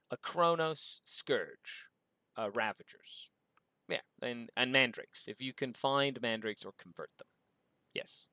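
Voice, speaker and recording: A man's voice: medium pitch, bright, forceful tone, no room sound. A man from Mexico with an English accent, hosting a livestream and reading out viewer questions in an upbeat way before answering them. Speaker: show host.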